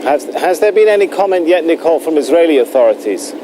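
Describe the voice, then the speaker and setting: A man presenting live news and questioning a correspondent. His voice is a little high for a man. A clear noisy hum runs behind him. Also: expressive, fast talker.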